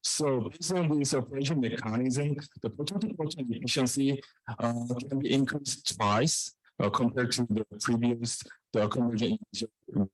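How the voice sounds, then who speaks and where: A man presenting research in a technical scientific talk, with a halting, hesitant delivery that often repeats phrases. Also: medium pitch, no echo, rough recording.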